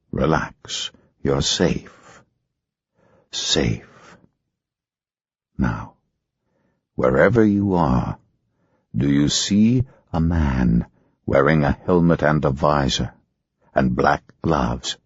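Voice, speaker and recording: A man voicing a male hypnotist, giving soothing, slow, insistent commands. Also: very deep voice, animated, husky, no echo, lo-fi sound, exaggerated voice.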